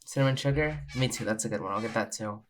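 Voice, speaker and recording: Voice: medium pitch, quick pace, slight background noise. A tabletop game player speaking hesitantly and friendly, with many fillers.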